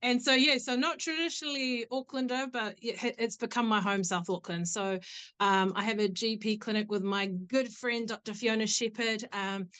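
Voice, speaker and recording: A New Zealand woman with a fairly high voice, chatting easily as a guest. She talks with fillers and laughs often. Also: fairly even intonation, normal speed, a bit bright. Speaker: guest doctor. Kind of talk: casual interview.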